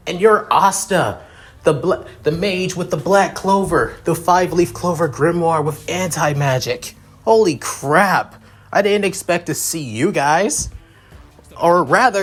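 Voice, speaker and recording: A man voicing an excitable, starstruck teenage boy who gushes and rambles, in an amateur anime story. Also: a little high, breathy edge, pitched above the performer's own voice, heavily acted. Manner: gushing, rambling. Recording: music behind the voice.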